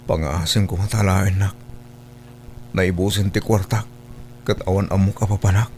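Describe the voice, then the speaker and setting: A man's voice: low-pitched, fairly even intonation, normal speed, darkish tone, slight background noise, natural voice. A man with an Ilocano accent acting a broke, humbled man pleading with regret in a radio drama. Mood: regretful, pleading.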